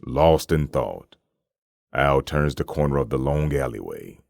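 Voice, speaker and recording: A man narrating a comic book dramatically from a script, with measured, ominous storytelling. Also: extremely low pitch, lively intonation, gravelly, a little mellow, no room sound, studio quality.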